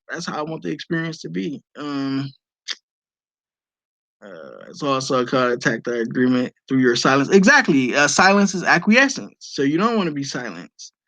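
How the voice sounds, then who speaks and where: A man talks on a live stream in a fairly high voice, recorded dry and close. He lectures emphatically, answering viewer questions and letting profanity slip in. It sounds like casual, unscripted stream talk. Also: pushed and bright, no room sound, rough recording. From Detroit, USA.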